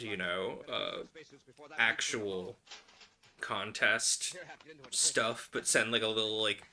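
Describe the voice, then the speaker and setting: A man with a bright, forward voice talks casually as a livestream host while doing handiwork. He rambles in a wry way and slips in playful asides. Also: mid-range voice. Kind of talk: casual chat while hand sewing.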